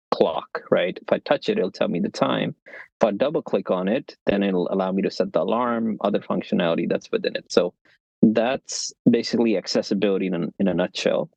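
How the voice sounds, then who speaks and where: A young man from Pakistan, a tech founder and podcast guest, explaining things in a relaxed way and joking about himself, recorded on a phone. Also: low-pitched, gravelly, dry recording. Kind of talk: casual interview storytelling. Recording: dull lo-fi, dry sound.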